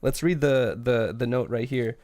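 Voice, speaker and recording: A man's voice: medium pitch, moderate pace, darkish tone, no room sound. A young man from Russia, co-hosting a casual podcast, rambling with lots of fillers and swearing. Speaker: podcast co-host.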